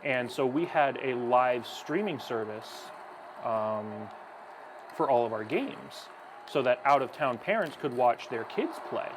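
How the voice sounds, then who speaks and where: A man's voice: medium pitch, expressive, measured pace, noisy background. A young man who broadcasts hockey, chatting while gaming and then calling the action play-by-play.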